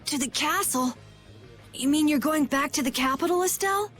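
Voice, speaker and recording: A woman voicing an excitable, indignant young child in a video game, urgent and exclaiming. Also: high voice, normal speed, faint music behind. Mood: surprised.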